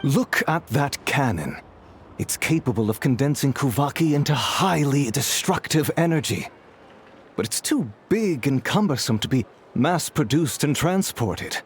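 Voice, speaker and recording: A man acts out a scheming, well-mannered game villain of a businessman. His delivery swings widely in pitch and drips with smug courtesy. Faint ambient noise sits under the voice. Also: expressive, unhurried, close to an everyday voice. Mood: calculating. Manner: smooth, mocking politeness. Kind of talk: video game voice acting.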